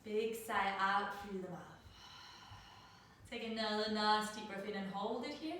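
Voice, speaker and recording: A middle-aged woman from Denmark leading a guided yoga class over a live video call, speaking in a soft, soothing way with slow, drawn-out cues. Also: medium pitch. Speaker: yoga teacher. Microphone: laptop mic at a distance. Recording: very echoey room, distant mic, faint noise.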